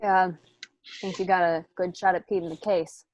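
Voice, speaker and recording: A woman's voice: fairly low pitch, steady tone, moderate pace, lo-fi sound, natural voice. A woman playing a tough, vengeful mob boss giving orders, on a slightly noisy home microphone. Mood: bitter, commanding.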